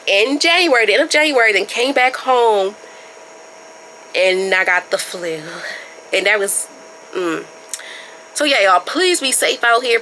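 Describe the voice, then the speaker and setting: A woman's voice: medium pitch, sharp tone, a little hiss or hum, poor audio. A young woman hosting her podcast, chatting casually and informally with her listeners while stuffed up from a starting cold. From Baltimore area. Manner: chatty, loose and informal, addresses listeners as y'all. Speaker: podcast host. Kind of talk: casual solo podcast chat.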